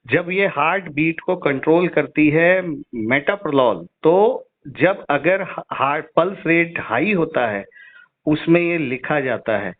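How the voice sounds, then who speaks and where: Middle-aged male customer, slightly high voice, challenging and insistent, recorded over a narrowband phone line. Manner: insistent, challenging, repeats questions. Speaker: caller, insurance customer and patient. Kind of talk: argumentative phone complaint. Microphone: phone call.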